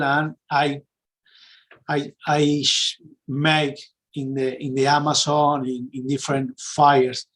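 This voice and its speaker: A middle-aged man with an Argentine Spanish accent presents a webinar informally, searching for words in his second language. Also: fairly high pitch. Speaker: sales and marketing executive, webinar presenter.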